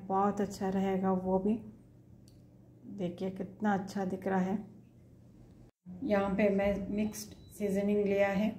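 A woman walks viewers through a snack recipe in her home kitchen, telling them what to do at each step. Her voice is warm and mellow and stays fairly level in pitch. A faint household hum sits under the recording. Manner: instructive, addresses viewers directly. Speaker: cooking channel host.

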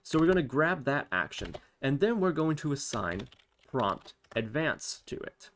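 A man with a mid-pitched voice narrates a step-by-step coding tutorial, thinking aloud as he works. He explains each move in an instructional way. The recording has noticeable background noise, with typing and clicks behind him. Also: sing-song, measured pace, a bit bright. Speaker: tutorial host. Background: computer keyboard typing and mouse clicks.

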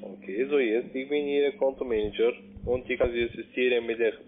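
A man's voice: mid-range voice, steady tone, a little fast, a little hiss or hum. Salesman on tinny phone line. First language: not German. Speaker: call centre account manager. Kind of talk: scripted phone sales pitch.

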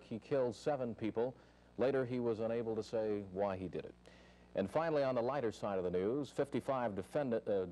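American guy reading 70s local tv news, dark relaxed voice, anchor style. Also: lowish voice, poor audio. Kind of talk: 1970s local newscast, scripted reading. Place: television news studio.

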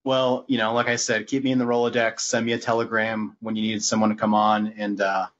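A man chatting casually as a podcast guest, in a rambling, halting way. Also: fairly low pitch, little pitch movement, fairly bright tone. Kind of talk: casual chat. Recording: dull lo-fi sound with background noise.

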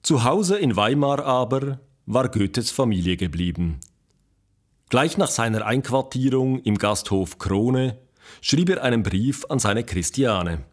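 A man narrating a scripted documentary radio play as its storyteller, with a husky voice. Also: mid-range voice, animated, a little slow.

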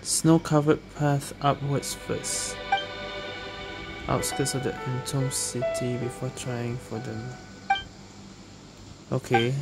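A man's voice: medium pitch, level tone, takes it slowly, creaky, pauses often, poor audio. A man doing casual gameplay commentary, muttering his reactions and reading game text aloud. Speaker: gaming video host playing an action RPG. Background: game music and effects.

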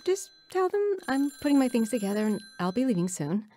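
High-voiced, restless young mother. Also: big pitch swings, brisk, mellow. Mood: firm, uneasy. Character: restless young mother, affectionate but leaving her children. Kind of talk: audio drama acting.

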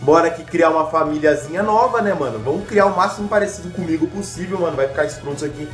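A man's voice: fairly high pitch, fast talker. Young man, slangy rambling gamer chatter, stuffy from a cold, echoey bedroom, faint music underneath. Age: young adult. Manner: slangy, rambling, self-mocking. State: slightly congested from a cold. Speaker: gaming youtuber. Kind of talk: casual gameplay commentary.

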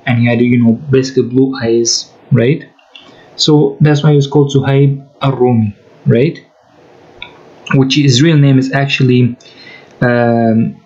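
A man's voice: mid-range voice, a little mellow, lo-fi sound. A man giving a commentary while reading from sources, often checking with "right?" and restarting sentences. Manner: explanatory, frequent "right?" tags and restarts. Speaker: religious commentator.

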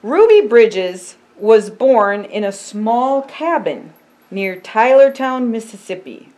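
A woman in her sixties who is a pastor, reading a picture book aloud in simple, deliberate phrases and explaining it as to a child. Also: a little high, big pitch swings, a little mellow. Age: 60s. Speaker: pastor and storytime reader. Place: indoor storytime set by a fireplace.

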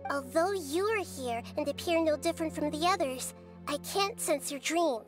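Woman doing super high little girl goddess, calm and wise, anime game, soft music under it. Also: lightly acted. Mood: analytical. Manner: measured, thoughtful, knowing. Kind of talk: anime video game voice acting.

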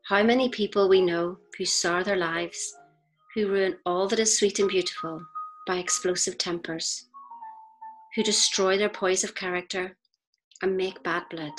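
A woman reads scripted inspirational narration in a measured, deliberate way, with pauses between phrases. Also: medium pitch, steady tone, rough recording. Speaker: audiobook reader. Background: faint music.

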